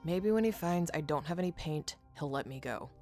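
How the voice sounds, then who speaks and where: Bounty hunter for a Western game, played by a woman: low female voice, guarded, sardonic, over clear music. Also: lively intonation, a little fast, dark tone. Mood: tense thought. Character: wary, sardonic bounty hunter newly arrived in town. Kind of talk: visual novel voice acting.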